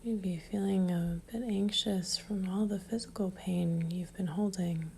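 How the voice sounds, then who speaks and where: Soft breathy lady doing guided meditation, really slow, mellow and calm, bit of background noise. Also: mid-range voice. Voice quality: soft, breathy, near-whisper. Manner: calm, gentle, unhurried with long pauses.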